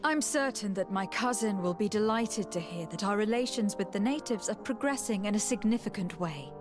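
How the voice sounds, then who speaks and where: A woman's voice: mid-range voice, expressive, a little fast, music in the background, close to an everyday voice. A woman playing a composed, courteous noble diplomat in a video game, speaking politely and formally. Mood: diplomatic, pointed. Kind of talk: video game dialogue acting.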